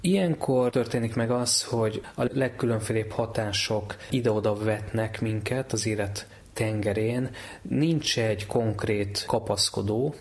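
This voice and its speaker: Male expert interviewee, lowish pitch, explanatory interview delivery.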